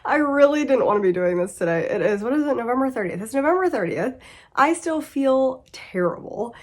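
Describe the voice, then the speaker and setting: A woman's voice: sits high, lively intonation, fairly quick, darkish tone. Congested woman chatting about books. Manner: chatty, laughing, self-interrupting. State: congested and sick. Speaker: book vlogger. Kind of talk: casual reading wrap-up chat.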